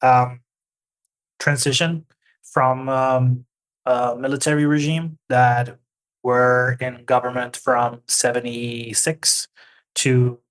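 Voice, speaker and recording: A man's voice: mid-range voice, flat delivery, takes it slowly, a bit bright, low-quality recording. A man in his forties, from Argentina and raised in Norway, speaking as an interview guest in a thoughtful, hesitant way with many restarts. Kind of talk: conversational interview.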